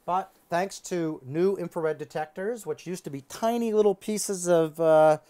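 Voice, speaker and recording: A man's voice: high voice, measured pace, mellow, clear, resonant tone. A middle-aged man giving a conversational science lecture as a lecturer, explaining as he thinks aloud. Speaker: astronomy lecturer. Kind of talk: conversational science lecture in a two-person dialogue.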